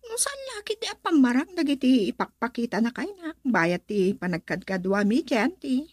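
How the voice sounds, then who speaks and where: High, lively young Ilocano sweetheart. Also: female voice, moderate pace, husky, no room sound, studio quality. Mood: sincere, smitten. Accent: Filipino, Ilocano. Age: young adult. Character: sweet young woman in love, respectful niece. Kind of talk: radio drama acting.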